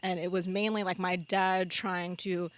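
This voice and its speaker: A woman's voice: lowish voice, flat delivery, unhurried, no echo. A woman from New Jersey talking casually and candidly on a podcast, with a self-mocking streak. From New Jersey, United States.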